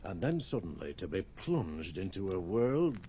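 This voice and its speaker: An actor playing a hearty, haunted English sergeant major back from India, middle-aged, blustering in a radio drama. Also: male voice, sits low, sing-song, moderate pace, mellow, husky, full character voice. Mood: darkly haunted. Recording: dull, lo-fi radio drama tape.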